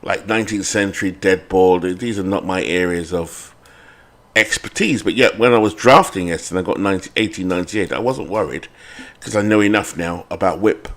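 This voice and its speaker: A man's voice: low voice, a little fast, fairly bright tone. A British man hosting a baseball sim game channel, chatting casually and rambling with fillers and self-corrections.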